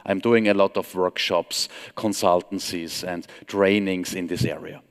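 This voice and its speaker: A man in his forties from Austria with an Austrian German accent, giving a conference tech talk from a stage with a relaxed, wry, self-deprecating humor. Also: low-pitched, a little slow, smooth. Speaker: conference speaker. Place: large conference hall stage. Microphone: headset mic. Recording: long hall reverb.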